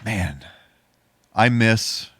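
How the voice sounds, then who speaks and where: A man's voice: lowish voice, expressive, extremely slow, a bit bright, no echo. A man hosting a podcast in a casual, playful style with a goofy bit of showmanship. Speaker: podcast host.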